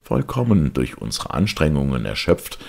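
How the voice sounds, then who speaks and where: Very deep, gravelly male storyteller. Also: very animated, normal speed, a little mellow. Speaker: audiobook reader. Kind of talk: literary audiobook narration.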